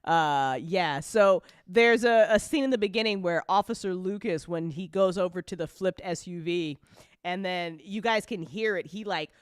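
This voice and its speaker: Clear, smooth-voiced woman chatting casually. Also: on the low side, normal speed, no room sound, clean studio sound. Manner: rambling, giggly, informal with swearing. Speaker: audio drama creator hosting a live after-show chat. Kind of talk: casual chat.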